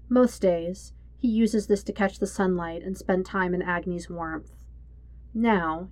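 A woman with a fairly low voice narrates a story from a script. Her delivery is measured and solemn, and the recording is close and dry, with little room sound. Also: darkish tone, no room sound. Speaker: fan fiction narrator.

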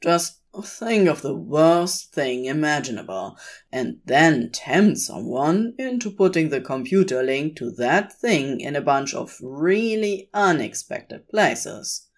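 A woman's voice: sits low, animated, slow pace, exaggerated voice. A woman voicing a flippant, exasperated, scheming male demon in a fan fiction reading. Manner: flippant, sardonic. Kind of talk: audio fan fiction dialogue.

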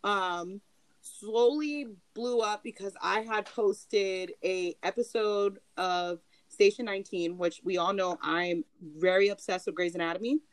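Bubbly excitable woman guest, dry sound. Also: medium pitch, a little slow, dry recording, low-quality recording. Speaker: podcast guest. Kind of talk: casual chat.

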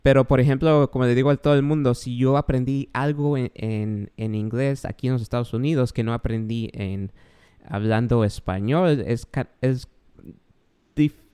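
A man with a Guatemalan accent hosts his podcast, speaking in a clear, smooth voice of middling pitch. He rambles warmly through his questions, full of enthusiasm and playful asides. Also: moderate pace. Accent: Guatemalan Spanish. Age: adult, father of a young child. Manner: enthusiastic, rambling, self-described joker. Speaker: podcast host. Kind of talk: casual podcast interview.

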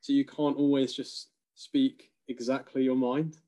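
A man in a casual conversation, thinking aloud with frequent hesitations and soft laughs. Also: mid-range voice, flat delivery, measured pace.

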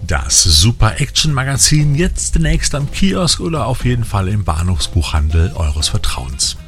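A man with a deep voice presents a scripted news magazine for fans. He talks in colloquial, enthusiastic patter, as a host addressing his audience. Music plays softly behind him. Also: sing-song, moderate pace. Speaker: podcast host. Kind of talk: scripted newsreel-style magazine narration. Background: music bed.

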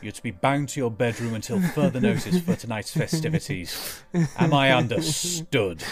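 A man's voice: medium pitch, animated, moderate pace, a loud crowd, lightly acted. A man playing a cruel, contemptuous royal father in a fantasy audio drama, speaking with haughty, archaic theatricality. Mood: cold scorn.

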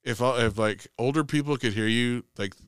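Forties male podcast co-host, bright and crisp, dry close sound, profane ranter. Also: medium pitch, moderate pace, dry recording. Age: 40s. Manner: ranting, profane, gets loud when angry. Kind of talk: casual chat.

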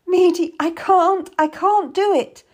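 A woman's voice: extremely high pitch, slow, voice pushed up. A woman voicing a terrified young mother in labour, pleading breathlessly and desperately in a historical audiobook. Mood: desperate panic.

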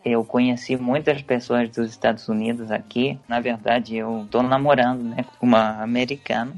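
Young Venezuelan man, native Spanish speaker, gravelly and halting, soft music underneath. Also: medium pitch, steady tone, dull audio. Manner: hesitant, many restarts and fillers. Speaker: interviewee, English teacher. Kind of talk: casual interview.

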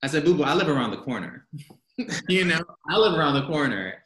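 A man's voice: a little high, sing-song, brisk, a bit bright, reverberant, a little hiss or hum, rough recording. An American man chatting casually as a guest, telling stories animatedly and laughing often. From United States. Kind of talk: casual chat. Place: home in Berlin.

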